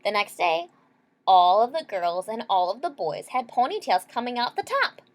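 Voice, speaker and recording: A woman's voice: a little high, sing-song, moderate pace. A woman reading a children's picture book aloud expressively, with explanatory asides to young listeners. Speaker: storybook reader.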